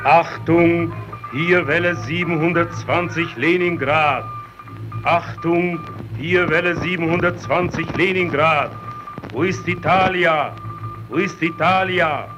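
An actor playing a stern Soviet radio operator, announcing insistently and formally in an old radio play. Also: male voice, on the high side, slow, highs cut off, over music. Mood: urgent.

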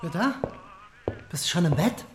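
A man's voice: fairly high pitch, big pitch swings, normal speed, very noisy. An actor playing a patient male care worker in a naturalistic radio drama, talking colloquially and coaxingly. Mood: casual, coaxing. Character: patient, casual male care worker trying to get attention.

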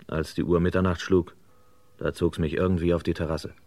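A man's voice: low-pitched, little pitch movement, brisk, darkish tone, no room sound. An actor playing a restless reporter who narrates his own story in terse first person, in a vintage crime radio play. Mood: laconic, reflective. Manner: terse, laconic.

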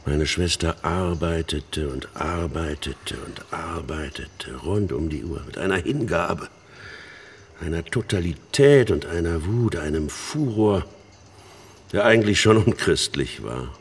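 An actor playing an embittered middle-aged man telling a haunted story in halting, emphatic phrases in a radio play. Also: deep voice, animated, breathy edge, exaggerated voice. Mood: intense. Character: embittered older man haunted by his missionary sister's death. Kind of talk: dramatic monologue in a German radio play.

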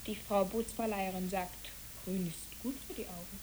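A woman's voice: mid-range voice, fairly even intonation, normal speed, lightly acted. A woman playing a dreamy, talkative child in a German radio play, speaking wistfully and lyrically. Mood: musing. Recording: hissy old radio play recording.

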